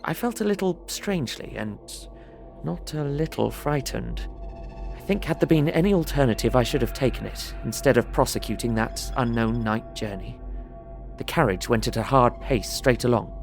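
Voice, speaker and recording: An actor playing a young, earnest traveller, narrating his diary in a measured, uneasy way. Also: mid-range voice, expressive, creaky, soft background music. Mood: apprehensive. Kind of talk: dramatised first-person diary narration.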